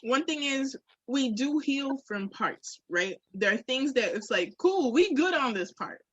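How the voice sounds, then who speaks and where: A woman with a fairly high, husky voice talks candidly, as on a podcast. She is reflective and emphatic and swears freely, and the sound is close and dry. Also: animated, a little slow, no room sound, highs cut off. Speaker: podcast guest or co-host. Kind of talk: candid casual conversation.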